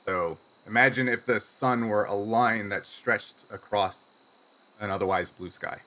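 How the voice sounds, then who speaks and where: Bright-voiced man running a tabletop game. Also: lowish voice, fairly even intonation, a little slow. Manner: conversational, explanatory. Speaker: game master. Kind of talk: improvised tabletop game narration.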